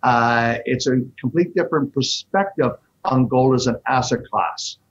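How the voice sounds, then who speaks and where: A man being interviewed, explaining investments in quick, animated bursts with frequent fillers. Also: medium pitch, muffled recording. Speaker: fund manager guest. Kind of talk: interview answers.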